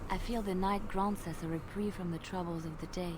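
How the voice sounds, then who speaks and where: A woman playing a gentle, bashful bard adventurer in a fantasy video game, earnest, hesitant and playful. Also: on the low side, steady tone, fairly quick, close to an everyday voice. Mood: tender, reflective. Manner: soft-hearted, hesitant, earnest. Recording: clearly noisy game playback.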